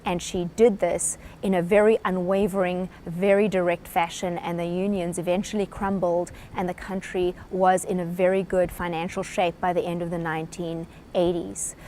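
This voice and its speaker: A woman's voice: on the low side, a little mellow, a little hiss or hum. A woman with a South African accent giving a short, earnest talk explaining a leadership topic. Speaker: presenter.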